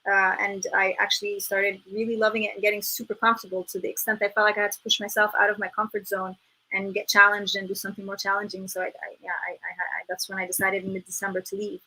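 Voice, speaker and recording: A bright, clear-toned woman in her thirties, originally from Egypt, speaking as an interview guest. She talks in a loose, reflective way, restarting sentences and leaning on "like" as she thinks aloud. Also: mid-range voice, flat delivery, fast, low-quality recording. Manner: reflective, many restarts and "like" fillers. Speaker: guest filmmaker. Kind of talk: casual interview talk.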